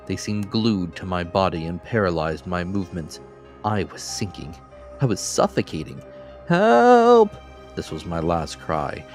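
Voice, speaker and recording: Low-voiced man narrating a dramatic audiobook. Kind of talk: dramatic scripted narration of classic fiction. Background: faint music.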